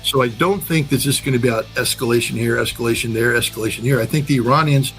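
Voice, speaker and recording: A man's voice: medium pitch, fast talker, vocal fry, a bit bright, faint music behind. A man giving blunt, emphatic geopolitical analysis in a conversational way, repeating phrases to drive his points home. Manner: blunt, emphatic, uses repetition. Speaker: political and military analyst.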